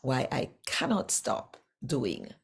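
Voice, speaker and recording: A woman from Jamaica with a Jamaican accent, telling a faith testimony in an earnest, measured way. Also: extremely low pitch, very animated, creaky, slightly rough. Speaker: Bible reader sharing testimony. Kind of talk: personal faith testimony to camera.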